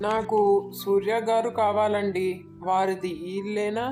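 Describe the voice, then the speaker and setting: An earnest young village man looking for work, acted by a woman, speaks at a middling pitch. Light music plays behind this storybook character. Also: level tone, a little slow, a little mellow, close to an everyday voice. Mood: polite calling. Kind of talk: dramatised village moral tale.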